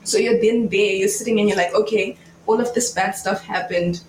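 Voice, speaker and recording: A woman's voice: mid-range voice, fairly even intonation, normal speed, darkish tone. Young woman in a very echoey room. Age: young adult. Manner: informal, explanatory, filler-heavy with "like". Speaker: podcast panelist. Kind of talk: casual faith discussion. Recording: very echoey room, faint noise.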